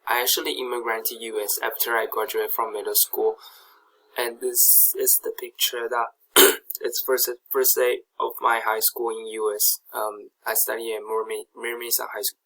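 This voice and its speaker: Young man, Taiwanese accent, native Chinese speaker, bright timbre, gravelly edges, halting slide talk. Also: medium pitch, flat delivery, rough recording. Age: young adult. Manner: hesitant, many fillers and restarts. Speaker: student presenter. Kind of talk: slide presentation.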